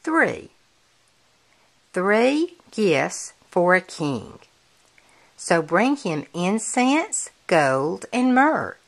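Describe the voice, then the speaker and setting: A woman reads a children's picture book aloud at a very slow, deliberate pace. Her medium-pitched voice moves in lively rises and falls, spelling things out clearly for young children to follow along.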